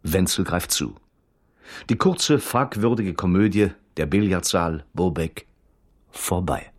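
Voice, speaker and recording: A man narrating a 1970s German radio crime drama in a husky voice and a clipped, matter-of-fact manner. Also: deep voice, animated, slow, full character voice. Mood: sober.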